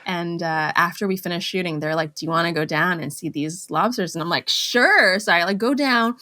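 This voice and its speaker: A young woman from Canada, guesting on a talk show, her voice sitting a little low. She tells stories in a loose, chatty way, full of fillers and quick laughs. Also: sharp tone, slight background noise. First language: Cantonese. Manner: chatty storytelling, laughs often, many fillers. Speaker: actress guest. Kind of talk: casual interview chat.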